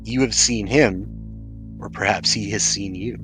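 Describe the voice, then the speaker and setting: A solemn journal writer, read out by a man addresses lost souls in a fantasy roleplaying game. The voice is low, formal and ominous, over faint music. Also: measured pace, natural voice, low-quality recording. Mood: grave warning. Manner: formal, portentous.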